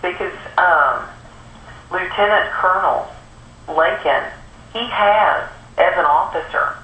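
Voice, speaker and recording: Bright woman on echoey phone line. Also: on the low side, takes it slowly. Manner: terse, inquiring. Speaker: congressional office staffer taking a call. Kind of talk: phone conversation, brief questioning. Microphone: telephone. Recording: noisy, echoey telephone line.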